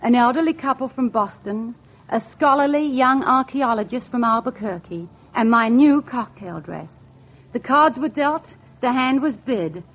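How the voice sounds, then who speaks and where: A woman's voice: a little high, expressive, normal speed. An actress playing a wry, lovelorn single woman, speaking in a dry, genteel, wistful way in a 1940s radio drama. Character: wry, lovelorn single woman on holiday, narrating her hopes. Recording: muffled 1940s radio recording with music.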